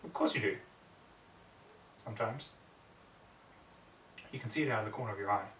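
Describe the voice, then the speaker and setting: A man's voice: on the low side, normal speed, fairly bright tone, slight background noise. A man narrating a story in a brooding, theatrical way, often in clipped fragments. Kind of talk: dramatic story reading. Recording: roomy echo, distant microphone.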